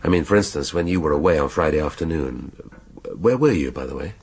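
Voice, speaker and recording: An actor playing a shrewd British police inspector in a radio drama, questioning in a measured, drily needling way. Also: male voice, very deep voice, expressive, a little mellow, breathy edge, heavily acted. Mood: probing.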